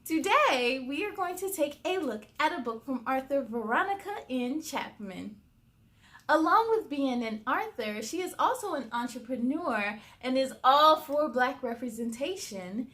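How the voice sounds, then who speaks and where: A woman's voice: high voice, animated, measured pace, fairly bright tone. A woman hosting a children's story time, reading aloud expressively with encouraging, chatty asides to young viewers. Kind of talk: animated children's read-aloud.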